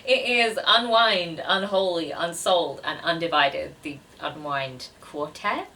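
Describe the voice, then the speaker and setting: A woman's voice: lowish voice, unhurried, sharp tone, recorded from a distance, slight background noise. A woman in her twenties chatting casually to camera as a book vlogger, with a self-deprecating, chatty manner. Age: 20s.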